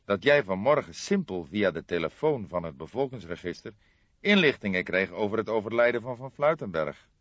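A man voicing an older police detective, calm and wry, speaking in measured, formal phrases in an audiobook. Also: lowish voice, dry recording, highs cut off. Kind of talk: audiobook character voice.

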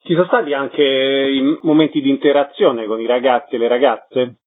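A man's voice: mid-range voice, steady tone, normal speed, phone-quality sound. A man hosting a radio interview, introducing guests and putting questions in a scripted presenting style.

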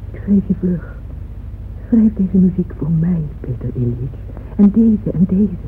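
An actress playing a wealthy, lonely middle-aged widow in a radio drama, yearning and confiding in a halting, intimate way. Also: female voice, on the low side. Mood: tender, reminiscing. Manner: hesitant, halting, confiding, at times near whispering. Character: wealthy lonely widow, wistful and yearning, addressing her absent beloved. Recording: muffled phone-like old radio recording, faint noise.

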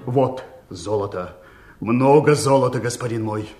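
An actor playing a brusque, menacing pirate envoy in a fairy-tale play, with blunt, clipped threats. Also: male voice, mid-range voice, a little slow, loud music behind the voice, close to an everyday voice. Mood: urgent, deferential. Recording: roomy echo.

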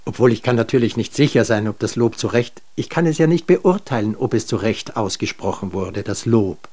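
A man's voice: lowish voice, animated, brisk, a little mellow. Weary, stubborn man. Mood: weary, halting. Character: weary, stubborn, self-doubting man back from hospital, groping for words. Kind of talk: German radio drama acting.

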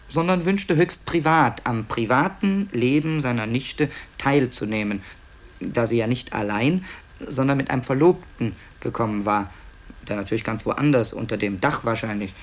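A man narrating a radio play in a conversational way, musing and hesitating, with wry asides. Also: medium pitch. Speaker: author-narrator presenting tapes to listeners.

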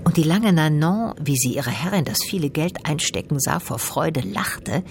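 An actress playing a poised storyteller, reading scripted literary narration in a radio drama. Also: female voice, deep voice, lively intonation, normal speed, a little mellow, slight background noise. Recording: faint background ambience.

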